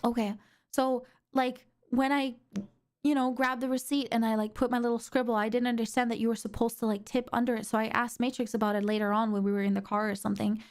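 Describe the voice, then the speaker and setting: A woman's voice: a little high, quick pace. Chatty young woman streamer, sore throat. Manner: chatty and excitable, rambling with many fillers. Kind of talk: casual stream chat.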